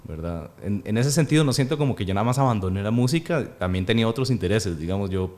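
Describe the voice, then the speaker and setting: A man in his thirties, born in Peru and speaking with a Costa Rican accent, talks thoughtfully as a guest, with many pauses and fillers. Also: on the low side, expressive, a little mellow. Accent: Costa Rican Spanish. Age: 30s. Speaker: actor and guest. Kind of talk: casual interview.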